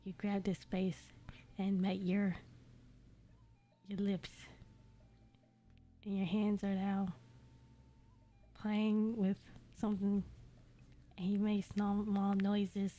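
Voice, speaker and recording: A woman streamer reading fan fiction aloud casually, giggly and self-censoring, often dropping into a breathy near-whisper. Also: mid-range voice, monotone, slow pace, long pauses, mellow.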